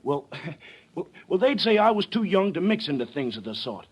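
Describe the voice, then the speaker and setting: A man playing an earnest, plucky young frontier boy with a halting, folksy Western drawl in an old radio drama. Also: sits high, sing-song, husky, exaggerated voice. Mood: nervous, hesitant. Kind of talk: 1930s radio drama acting. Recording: vintage 1930s radio recording.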